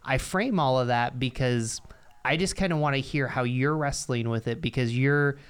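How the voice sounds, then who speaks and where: A man's voice: mid-range voice, moderate pace, clean tone. A man interviewing a guest, framing a long question in a hesitant way with many fillers.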